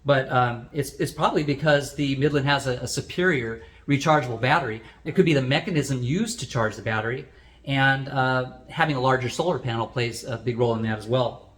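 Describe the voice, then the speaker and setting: A man's voice: medium pitch, brisk, fairly bright tone. A man hosting a product review, explaining things in a plain, unscripted way as he demonstrates.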